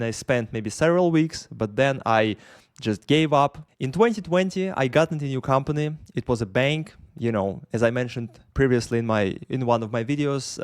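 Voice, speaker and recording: A man's voice: medium pitch, clean tone, dry recording, clean studio sound. A man from Russia with a Russian accent, talking unscripted to his camera and rambling with many fillers and restarts. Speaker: YouTuber. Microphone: camera in front of him.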